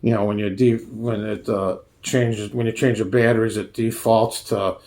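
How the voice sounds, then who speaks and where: A man giving a casual, hands-on review in a halting, folksy way, often restarting his phrases. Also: lowish voice, level tone, darkish tone. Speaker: radio reviewer. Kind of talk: casual hands-on product demonstration.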